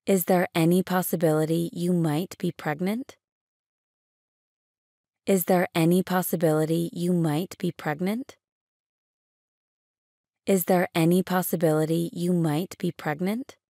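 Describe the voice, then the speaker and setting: A woman with a gravelly, slightly low voice reads short English phrases for learners. She speaks very slowly and says each phrase three times. The sound is close and dry. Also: steady tone, warm tone, no echo. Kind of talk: slow repeated phrase reading.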